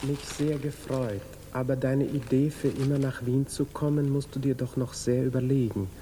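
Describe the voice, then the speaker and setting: An actor playing a cool, politely evasive lover, reading out a formal letter in a measured way in a 1950s radio drama. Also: male voice, medium pitch, steady tone, mellow, natural voice. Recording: hissy 1950s radio recording.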